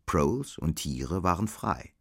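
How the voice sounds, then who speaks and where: An actor as the grave, detached male narrator of a dystopian radio play, delivering scripted literary prose in a measured way. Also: low voice, expressive, very noisy.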